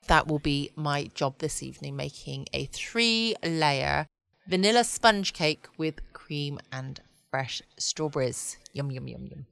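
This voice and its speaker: Female podcast host, lowish voice, close dry sound, casual rambling bedtime chatter. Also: creaky, fairly bright tone, dry recording. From United Kingdom. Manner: rambling, musing to herself.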